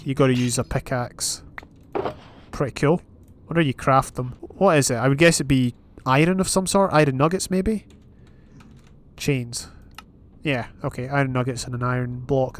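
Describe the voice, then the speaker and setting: Young Scottish gamer, mid-pitched, chatty running commentary, faint noise floor. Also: male voice. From Scotland. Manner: chatty, thinking aloud, reading advancements while playing. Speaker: gaming YouTuber. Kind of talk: casual Minecraft let's play commentary.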